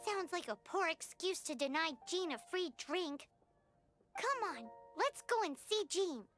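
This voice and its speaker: A woman's voice: very high-pitched, lively intonation, unhurried, a bit bright, heavily acted. A woman voicing a tiny, cheeky, childlike fairy companion in an anime video game. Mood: scolding. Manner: refers to self in third person, exclamatory, indignant. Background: music.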